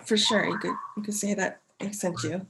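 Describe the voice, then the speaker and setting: A woman's voice: mid-range voice, fairly even intonation, normal speed, bright, forceful tone, a little hiss or hum, rough recording. A woman playing a client in a sales role-play, giving short, hesitant answers.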